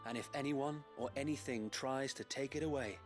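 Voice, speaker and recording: A man's voice: medium pitch, normal speed, close to an everyday voice. A man voicing a loyal knight in a game, formal, earnest and deferential. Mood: earnest resolve. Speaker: video game character. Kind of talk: dramatic game voice acting. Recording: faint game music behind. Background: game music.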